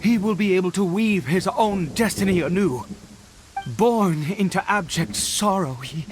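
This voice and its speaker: Slow, theatrical fanatical nobleman. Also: high-pitched. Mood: fervent, exultant. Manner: theatrical, brooding, swinging from hushed to exultant. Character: fanatical aging nobleman obsessed with the Abyss. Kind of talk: dramatic video game acting. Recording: storm and rain noise behind.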